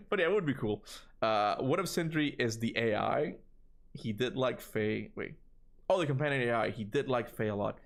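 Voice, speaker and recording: Man, Cuban accent, casual streamer talk, rambling and lively, mid pitch. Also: creaky. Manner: rambling, animated, swears casually. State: tired voice from long talking. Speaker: gaming streamer answering chat. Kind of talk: casual livestream chat.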